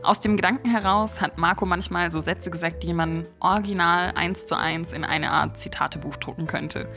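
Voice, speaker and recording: A woman hosting a podcast, reading a scripted introduction and then chatting casually with her guest, cheerful and quick to laugh. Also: lowish voice, fairly even intonation, creaky, over music. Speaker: podcast host.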